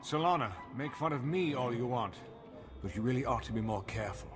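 A man's voice: medium pitch, animated, fairly quick, lightly acted. A man playing a grouchy, cautious, exasperated pilot officer in a video game, stern and disapproving. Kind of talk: video game cutscene acting. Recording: loud music behind.

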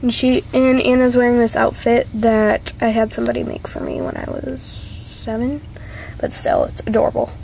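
A woman's voice: fairly high pitch, little pitch movement, normal speed, vocal fry, darkish tone. A young woman giving a casual, rambling show-and-tell tour at home, giggly, self-deprecating and apologetic, recorded on a handheld camera's own mic. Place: small carpeted room at home. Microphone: handheld camera's built-in mic. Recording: noisy handheld amateur camera audio.

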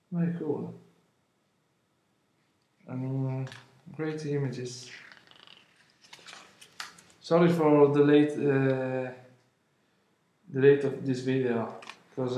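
A man in his twenties from Italy, speaking English with an Italian accent, unboxing an album at home, halting with many fillers and apologies. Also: medium pitch, mellow, echoey room. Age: 20s. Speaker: vlogger. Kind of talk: casual unboxing vlog. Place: room at home, indoors. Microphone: webcam at arm's length.

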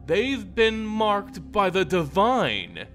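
A man voicing a gloating, cruel female vampire lord in a slow, theatrical fantasy story reading. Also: high voice, very animated, fairly bright tone, faint music behind, voice pushed up, exaggerated voice. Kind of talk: dramatic fantasy audiobook character voice.

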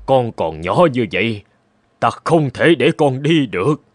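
Người cha già yếu, lo âu. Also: male voice, mid-range voice, sing-song, a little slow, dry recording. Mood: sorrowful. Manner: sorrowful, worried, gentle. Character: frail, anxious, loving old father. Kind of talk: animated fairy-tale acting.

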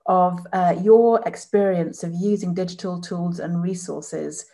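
A woman's voice: lowish voice, steady tone, unhurried, warm tone. A woman in her forties chairing a conference panel, reading formal introductions over a video call.

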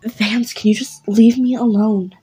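A woman's voice: fairly high pitch, steady tone, measured pace, mellow, breathy edge. A woman voicing a hurt, defensive young woman who curtly asks to be left alone. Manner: curt, pleading to be left alone.

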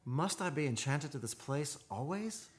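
A man's voice: fairly high pitch, lively intonation, moderate pace, natural voice. A man playing a tormented, lovestruck mortal in an old-time radio drama, with brooding, theatrical and formal delivery.

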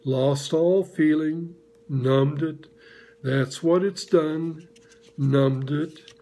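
A man voicing a gloomy old donkey in a storybook reading, speaking in a slow, sorrowful drawl. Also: on the high side, fairly even intonation, warm tone. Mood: mournful.

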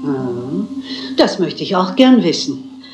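A woman's voice: low voice, big pitch swings, slow, full character voice. An actress playing a shrewd, composed elderly lady detective in a crime drama, polite and precise in her speech. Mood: calm, probing. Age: older. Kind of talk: German-dubbed crime film acting. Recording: roomy echo, music underneath.

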